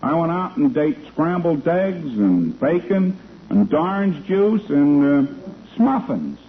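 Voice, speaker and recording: A man's voice: fairly high pitch, big pitch swings, takes it slowly. An actor playing an earnest, dim-witted delivery fellow who bumbles and garbles his words in a 1940s radio comedy. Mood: earnest, sheepish. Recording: noisy, tinny vintage radio recording.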